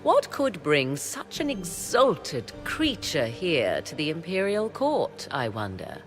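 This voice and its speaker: An actress playing a sly, mocking court sorceress in a video game cutscene, speaking slowly and teasingly. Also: female voice, sits low, very animated, exaggerated voice. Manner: slow, teasing, measured. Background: music.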